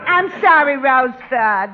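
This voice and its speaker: A woman's voice: high voice, expressive, a little slow, a loud crowd. A woman acting a sweet, dopey waitress girlfriend with a plaintive manner and an American accent, in 1940s radio comedy style. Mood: wistful. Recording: muffled old radio recording, faint hiss.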